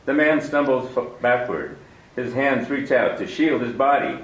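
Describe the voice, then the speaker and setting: Formal 1940s radio host, roomy and distant. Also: male voice, mid-range voice, animated, a little slow. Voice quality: formal, stately delivery. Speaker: radio drama host and narrator. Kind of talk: 1940s radio broadcast introduction and narration.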